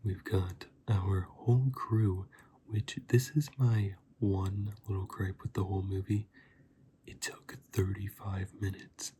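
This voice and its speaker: Soft, slow, low-voiced whispering man. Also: level tone. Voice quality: soft, breathy, often whispered. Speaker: video host and reviewer. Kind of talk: softly spoken ASMR movie review, casual.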